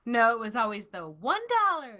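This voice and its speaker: A woman's voice: a little high, lively intonation, fairly quick, bright, forceful tone. A woman chatting casually as a panelist on a podcast, giving short remarks. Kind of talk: casual chat.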